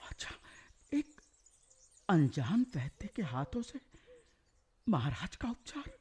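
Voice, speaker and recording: An authoritative, worried chief minister in a historical radio play. His fairly high voice moves widely in pitch, with grave, formal, theatrical phrasing. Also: big pitch swings, slow pace, a little mellow, a little hiss or hum, heavily acted. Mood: wary, questioning. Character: anxious, authoritative chief minister of the royal court. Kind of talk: Hindi historical radio drama acting.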